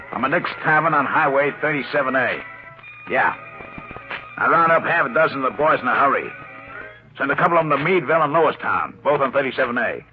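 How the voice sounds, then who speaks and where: An actor playing a tough, domineering gangster boss who talks curtly in gangster slang in an old-time radio crime drama. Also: male voice, mid-range voice, lightly acted. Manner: curt, barking orders in gangster slang. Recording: dull, band-limited old radio recording.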